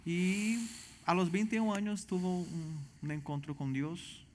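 A man from Venezuela with a Brazilian Portuguese accent, earnestly telling his testimony as a missionary guest, halting and restarting often. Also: sits high, steady tone, darkish tone, slight background noise. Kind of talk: personal testimony in church interview.

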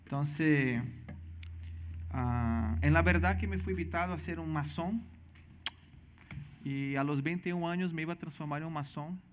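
A man from Venezuela with a Brazilian Portuguese accent, earnestly telling his testimony as a missionary guest, halting and restarting often. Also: a little high, slight background noise. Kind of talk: personal testimony in church interview.

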